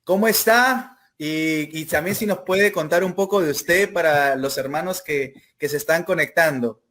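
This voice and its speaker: A man's voice: fairly high pitch, normal speed, a bit bright. A man with a Peruvian accent hosting a casual live interview, polite and hesitant, often repeating his phrases as he goes. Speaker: interview host.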